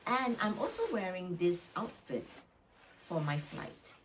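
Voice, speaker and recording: Low-voiced woman vlogger, distant mic. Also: animated, measured pace. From Malaysia. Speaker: solo travel vlogger. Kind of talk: casual packing vlog. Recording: distant mic, slight background noise.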